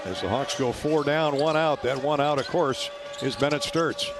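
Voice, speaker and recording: A man's voice: medium pitch, normal speed. A man doing live radio play-by-play of a basketball game in a folksy, colorful way. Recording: loud arena noise behind courtside radio call. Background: ball bounces and gym ambience.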